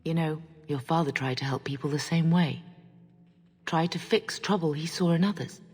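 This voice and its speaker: A performer playing a gentle, consoling family elder in a fantasy game, with a husky voice. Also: mid-range voice, moderate pace, close to an everyday voice. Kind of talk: fantasy game cutscene acting. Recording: hall reverb, music behind.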